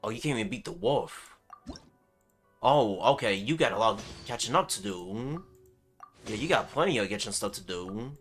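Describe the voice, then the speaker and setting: A man's voice: fairly low pitch, lively intonation, moderate pace, soft background music. A man livestreaming a game with casual commentary, muttering short exclamations to himself and chatting to viewers. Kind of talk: casual live gameplay commentary.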